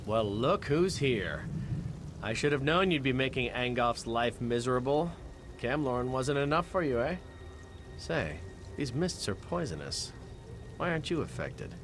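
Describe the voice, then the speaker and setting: An actor playing a brash, gallant guard captain with a familiar, plain-spoken manner in a fantasy game. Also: male voice, mid-range voice, lively intonation, a little slow. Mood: wry, curious. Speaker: game character. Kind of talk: scripted fantasy game dialogue. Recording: music under the voice.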